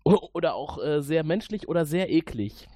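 A man's voice: fairly high pitch, lively intonation, normal speed, dark tone, no room sound, clean studio sound. A man chatting casually as a podcast host.

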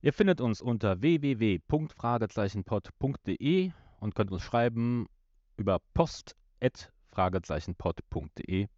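A man with a fairly low voice co-hosts a podcast, both chatting and announcing. The sound is a bit muffled, recorded in a room at home. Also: animated, normal speed, a little mellow, no echo. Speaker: podcast host. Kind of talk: podcast talk and scripted announcements.

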